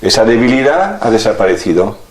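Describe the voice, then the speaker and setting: A man calmly guiding a healing session, explaining each step as he works. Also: fairly low pitch, fairly quick. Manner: calm, instructive, explains step by step. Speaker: alternative therapist. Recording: echoey room with faint noise.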